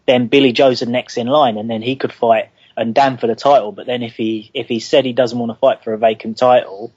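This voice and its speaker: A man's voice: mid-range voice, steady tone, fairly quick, darkish tone, breathy edge, no room sound, muffled recording. A man with a London accent, calling in to give long opinions on boxing. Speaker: caller. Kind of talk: casual boxing chat.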